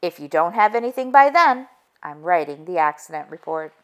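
A woman's voice: on the low side, big pitch swings, brisk. A woman voicing a no-nonsense female goose police chief in a storybook. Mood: grudging. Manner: authoritative, no-nonsense.

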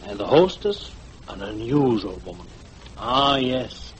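An actor playing a fat, weary, self-styled guide in a 1940s radio drama, wordy and ingratiatingly polite. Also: male voice, mid-range voice, animated, unhurried, lightly acted. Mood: suave, insinuating. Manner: florid, wordy, over-polite. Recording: noisy vintage 1940s radio recording.

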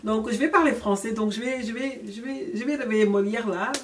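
A woman's voice: on the high side, a little fast, far from the mic, slight background noise. A young woman from Senegal chatting candidly in a storytime vlog, laughing often and slipping English words in. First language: French. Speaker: YouTuber. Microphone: camera at chest-up framing.

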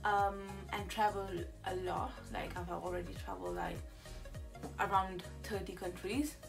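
A woman's voice: mid-range voice, flat delivery, unhurried, vocal fry, far from the mic, music in the background. A young woman from Italy chatting casually in a vlog, rambling with lots of fillers and restarts, sitting in a small room. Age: young adult. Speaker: YouTuber. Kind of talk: casual get-to-know-me chat.

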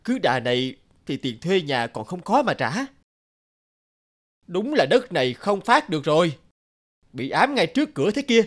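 A man's voice: on the high side, very animated, moderate pace, pauses often. A man voicing a boastful, hapless young repairman in a cartoon, with an exaggerated, theatrical delivery. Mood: grumbling. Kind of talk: cartoon dubbing.

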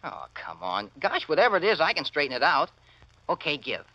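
Brash, bright-voiced teenage boy, animated. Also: a little high, brisk, dry recording, heavily acted, low-quality recording. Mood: breezy. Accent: American. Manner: brash, slangy. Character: cocky, slangy teenage son. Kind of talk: 1940s radio acting.

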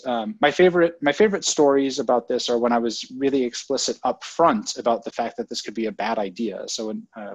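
Brief: husky-voiced man, hesitant, thoughtful interview guest over dull, dry audio. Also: on the low side, dry recording. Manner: thoughtful, many ums and restarts. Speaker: guest, former government technology leader. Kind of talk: conversational interview answers. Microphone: online call audio.

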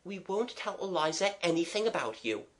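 A reader voicing a polite, matter-of-fact schoolgirl with a gentle, reassuring manner in a storybook. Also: mid-range voice, big pitch swings, moderate pace, pitched above the performer's own voice, close to an everyday voice. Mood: soothing.

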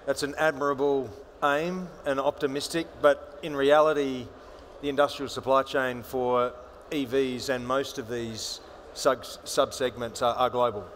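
A man's voice: mid-range voice, steady tone, unhurried, a bit bright, a little hiss or hum. A man speaking as a panelist at a conference, assertive and fluent, with small restarts. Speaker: panelist, banker. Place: large conference stage with audience.

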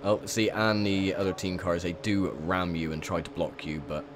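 A young man with a croaky, sore voice, chatting casually through gameplay commentary with lots of ums. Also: sits low, steady tone, moderate pace, noisy background. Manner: chatty, rambling with many ums. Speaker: gaming video host.

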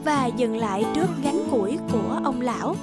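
Female storybook narrator: high voice, scripted delivery, loud guitar bed. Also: expressive, moderate pace. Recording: loud guitar music underneath.

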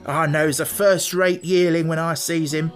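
A reader doing a gruff rustic coachman. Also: mid-range voice, a little slow, fairly bright tone, faint music behind, natural voice. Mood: stubborn. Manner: blunt country dialect, gruff. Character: gruff rustic coachman, stubborn married man.

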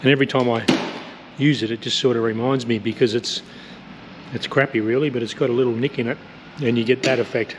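A man's voice: medium pitch, steady tone, fast talker. Man thinking aloud in a hangar. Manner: thinking aloud, hesitant with many ums. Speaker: aircraft replica builder. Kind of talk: casual workshop narration. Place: large metal hangar workshop. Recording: echoey hangar, faint background noise.

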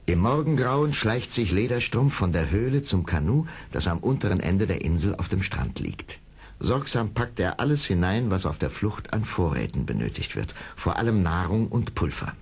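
The narrator of an old adventure radio play. His voice is deep and husky, with lively rises and falls in the scripted storytelling. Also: moderate pace, exaggerated voice. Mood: suspenseful. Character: male storyteller of an adventure tale.

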